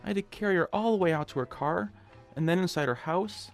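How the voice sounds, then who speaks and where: A man's voice: high voice, quick pace, soft background music. An actor playing a meek, henpecked, hapless man who stammers and whines in a radio comedy. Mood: chatty, uneasy. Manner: stammering, whiny, exclaiming. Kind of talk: modern revival of old-time radio comedy drama acting.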